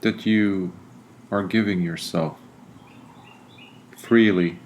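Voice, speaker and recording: A man leading a guided meditation in a deliberate, soothing way, pausing often between phrases. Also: low-pitched, fairly even intonation, a little mellow, slight background noise. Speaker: meditation guide, Buddhist monk.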